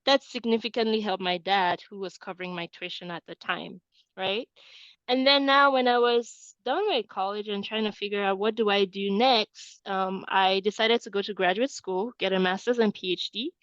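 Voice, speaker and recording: A woman's voice: medium pitch, normal speed, a bit bright, no room sound, dull audio. A woman in her thirties from Cameroon, presenting a webinar as an admissions coach and often adding "right?" to her points. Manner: peppers points with tag question "right?".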